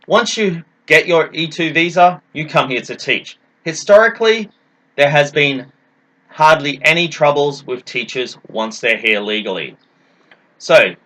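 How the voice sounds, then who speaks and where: Bright-voiced man giving an explainer. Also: a little high, poor audio. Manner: didactic, emphatic, poses questions and answers them. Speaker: English teacher presenting a talk. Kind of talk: explanatory presentation.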